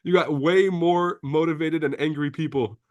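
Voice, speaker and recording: A man's voice: a little high, fairly even intonation, moderate pace, a little mellow, no room sound, highs cut off. A man from Lebanon, French his first language, hosting a casual trading livestream, reading viewer comments with brash, profane banter and frequent laughter.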